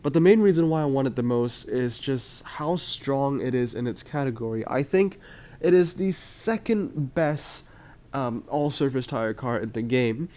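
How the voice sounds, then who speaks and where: Casting: young male gaming host, mid-pitched, chatty and upbeat, voice a bit off from a cold. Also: measured pace, dark tone. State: voice slightly off from a cold. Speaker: mobile game video host. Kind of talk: casual gaming commentary to viewers.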